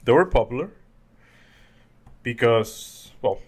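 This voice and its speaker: A man's voice: medium pitch, lively intonation, takes it slowly, darkish tone. A man in his twenties from the Dominican Republic, co-hosting a podcast in casual, jokey, rambling chat. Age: 20s. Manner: jokey, rambling, laughs often. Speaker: podcast co-host.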